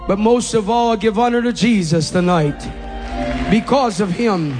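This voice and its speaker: A high-pitched man whose first language is Portuguese preaches with rising fervor, his non-native English plain in the phrasing. His voice rings out in a large hall with a long echo. Soft music hums faintly behind him. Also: normal speed. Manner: impassioned preaching, rising to shouts. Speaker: pastor. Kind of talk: Pentecostal sermon.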